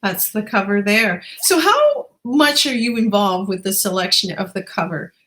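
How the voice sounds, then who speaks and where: A woman's voice: medium pitch, big pitch swings, moderate pace, a bit bright. Encouraging woman interviewer. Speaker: interviewer and host. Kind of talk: casual conversational interview.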